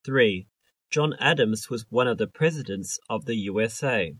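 A man reading scripted English lesson sentences for a listening test, in a measured, carefully enunciated way. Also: on the low side, expressive, a bit bright, breathy edge, no room sound. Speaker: exam listening test reader.

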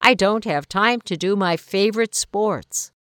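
A woman reads the part of a glum schoolgirl who feels her weekends are too busy, in a smooth, clean voice. Each word comes out carefully enunciated, as on a textbook recording. The sound is close and completely dry. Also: medium pitch, measured pace, a bit bright, no room sound, pitched above the performer's own voice, close to an everyday voice. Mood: unhappy. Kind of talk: scripted listening-test dialogue. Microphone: close studio mic.

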